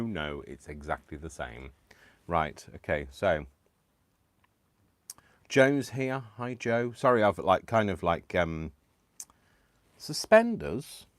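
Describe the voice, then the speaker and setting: A chatty British man with a deep, low voice talks to his live viewers from a home craft room. He rambles as he works, filling gaps with ums and little laughs and poking fun at himself. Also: no echo. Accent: British English. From United Kingdom. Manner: rambling and self-deprecating, many ums and laughs. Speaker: crafting livestream host. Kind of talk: casual chat to live viewers while crafting.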